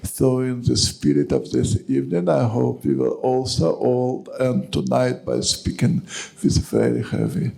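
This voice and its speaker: Man, heavy accent, poetry translation, slow, high voice, strong fry. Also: big pitch swings, mellow. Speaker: poet reading a translation. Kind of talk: poetry reading. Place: gallery reading event.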